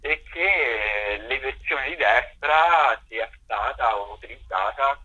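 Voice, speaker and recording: A man speaks as a radio interview guest over a muffled phone line. His voice is husky, with a bright edge, and he builds his answers hesitantly in short pieces. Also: medium pitch, animated, slow pace. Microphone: phone call to radio studio. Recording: dull, muffled telephone line.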